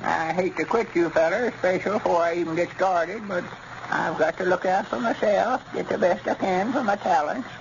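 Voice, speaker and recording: An actor playing a cranky, proud old grandpap, stubborn and folksy, with a rural Arkansas accent in an old radio comedy. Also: male voice, high voice, normal speed, creaky, pitched above the performer's own voice. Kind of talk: 1930s-40s radio comedy acting. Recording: muffled, noisy old radio recording.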